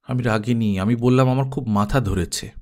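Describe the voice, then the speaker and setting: A man's voice: medium pitch, moderate pace, a little mellow, natural voice. A man voicing an irritated, embarrassed younger brother in an audio story, curt and exasperated. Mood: irritated, weary.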